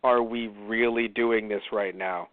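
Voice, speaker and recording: A man's voice: lowish voice, steady tone, measured pace, phone-quality sound, crowd noise. A man from New York co-hosting a show, rambling through a casual, comic film review with a jokingly whiny streak and acted-out mock quotes. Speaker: radio show co-host reviewing films.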